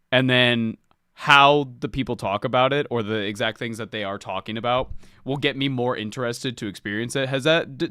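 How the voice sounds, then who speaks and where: Lively, smooth-voiced male podcast host. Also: medium pitch, no room sound, clean studio sound. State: slightly sniffly. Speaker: book club podcast host. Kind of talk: casual podcast chat.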